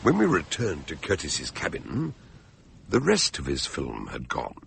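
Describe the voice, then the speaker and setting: An actor playing an anxious, cautious gentlemanly doctor who narrates and takes part in a radio mystery, grave and measured. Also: male voice, a bass voice, huge pitch swings, creaky, slight background noise, exaggerated voice. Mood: storytelling. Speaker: narrator and character. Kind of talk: radio drama narration and dialogue.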